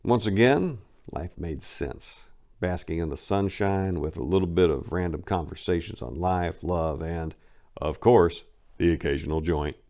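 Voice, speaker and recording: A man's voice: low voice, measured pace, dark tone. A man narrating his own memoir in a casual first-person reading, wry and blunt, with crude humor.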